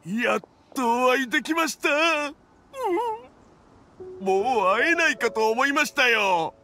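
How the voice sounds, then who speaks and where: An earnest young male underling from a video game. His voice is high and bright, swinging nervously as he reports with flustered deference, some noise behind him. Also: animated, unhurried, heavily acted. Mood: relieved, tearful. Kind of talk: Japanese video game voice acting.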